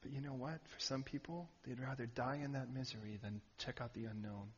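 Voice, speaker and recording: A man preaching a sermon, animated and joking with the audience, sometimes shouting out a word. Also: medium pitch, fairly quick. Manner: animated, shouting at peaks, jokey asides to the audience. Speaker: pastor. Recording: muffled hall recording.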